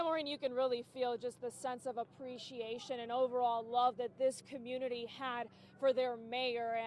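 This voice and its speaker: A woman's voice: high-pitched, a little mellow. A woman reporting live for TV news from outdoors, describing the scene on location. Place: outdoors at a street vigil.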